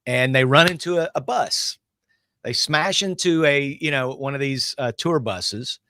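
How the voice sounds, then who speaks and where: A man with a middling pitch chats in a casual, anecdotal way on a pop culture panel. His voice is recorded dry, without room echo. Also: a little slow, sharp tone.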